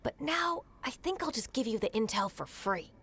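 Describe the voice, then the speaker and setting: A woman's voice: on the high side, big pitch swings, moderate pace, vocal fry, a bit bright, slightly rough, dry recording, heavily acted. A woman playing a composed, businesslike young female informant in an anime game.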